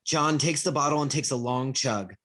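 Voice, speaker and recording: Husky-voiced reader of stage directions. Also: medium pitch, normal speed, a bit bright. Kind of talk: scripted narration of stage directions.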